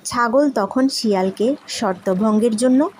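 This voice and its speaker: A woman's voice: fairly high pitch, measured pace, slight background noise, rough recording. A woman storyteller reading scripted moral tales for children.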